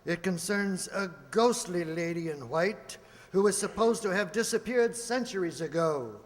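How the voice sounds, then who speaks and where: High-pitched genial old English doctor played by a man. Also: a little slow. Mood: storytelling. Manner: chatty, old-fashioned, courteous. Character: genial elderly English doctor reminiscing about old detective adventures. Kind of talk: amateur old-time radio play acting. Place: live stage in a hall. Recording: reverberant hall stage recording.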